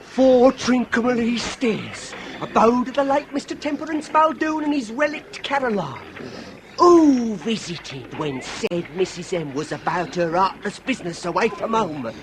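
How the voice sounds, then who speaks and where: An eager young Victorian police sidekick in a radio comedy. His voice is strikingly high, with formal, dutiful phrasing, set against clear background noise. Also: expressive, a little slow, exaggerated voice. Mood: brisk, pressing. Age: young adult. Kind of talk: period radio comedy drama.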